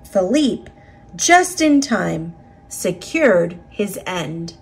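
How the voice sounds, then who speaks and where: Female storybook reader, slightly husky, unhurried, with lively pitch swings and dramatic phrasing for children. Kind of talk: expressive read-aloud narration of a children's picture book.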